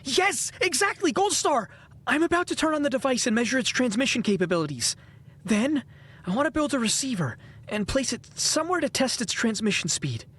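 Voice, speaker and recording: An actress as an eager young male inventor in a period audio drama. The voice is husky and fairly high, moving animatedly through enthusiastic explanations. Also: sing-song, fairly quick, a bit bright, heavily acted. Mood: excited, explaining. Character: eager, brainy seventeen-year-old boy inventor from 1915.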